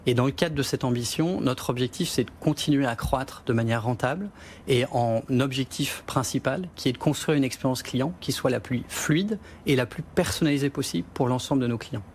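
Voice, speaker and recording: A male executive speaks at a mid-range pitch, giving measured answers broken by small hesitations. There's a faint noise under the recording. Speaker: company executive guest. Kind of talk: business interview answers.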